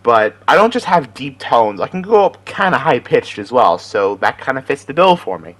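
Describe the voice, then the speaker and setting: A young-at-heart amateur impressionist, this man talks in a chatty, enthusiastic way. His pitch swings freely in a middle register. He sounds casual and giggly as he introduces his voices. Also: sing-song, normal speed, fairly bright tone. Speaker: amateur voice impressionist and aspiring voice actor.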